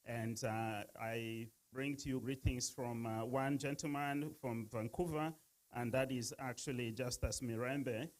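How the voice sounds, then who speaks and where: Man from Uganda, gala speaker, mid pitch, halting delivery with fillers. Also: fairly even intonation. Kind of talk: casual speech to an audience.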